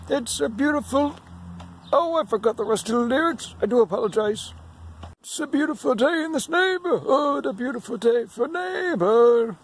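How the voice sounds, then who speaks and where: A man voicing a kindly, forgetful old gentleman puppet in a sing-song, apologetic way. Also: extremely high pitch, slight background noise.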